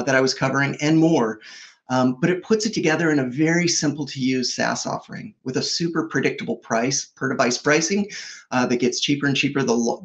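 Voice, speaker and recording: Guy in his 40s on a webcam call from home, gravelly voice, upbeat and fluent with lots of ums. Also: medium pitch. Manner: fluent, upbeat, with frequent fillers. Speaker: product executive guest. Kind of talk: conversational tech keynote. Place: home office, indoors. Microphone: video call webcam.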